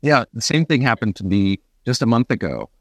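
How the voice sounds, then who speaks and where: A man's voice: medium pitch, big pitch swings, brisk, a bit bright, no echo, clean studio sound. A man hosting a conversational interview, talking in an agreeable, sympathetic way as a fellow actor.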